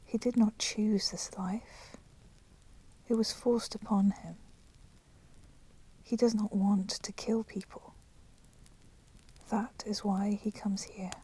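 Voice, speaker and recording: A woman playing a polite but menacing vampire in a whispered ASMR roleplay, speaking softly and formally. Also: mid-range voice, fairly even intonation, poor audio. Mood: earnest, reproachful. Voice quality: breathy, soft, often whispering. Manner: slow, deliberate, formally courteous. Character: polite, menacing female vampire, proud and merciful.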